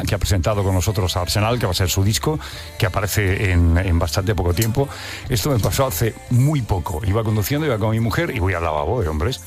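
A middle-aged man hosting a radio show, telling crude anecdotes in a lively, joking way. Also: fairly low pitch. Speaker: radio host. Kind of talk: casual radio chat.

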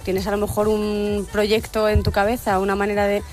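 Brief: woman, singer guest, open and thoughtful, mid-pitched, faint music under her. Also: monotone, darkish tone. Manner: candid, reflective, quick to laugh. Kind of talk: radio interview chat. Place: radio studio.

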